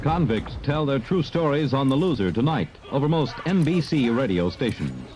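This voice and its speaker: A man's voice: medium pitch, very animated, moderate pace. A man doing formal, declamatory 1950s network radio announcing. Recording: vintage radio recording with loud music.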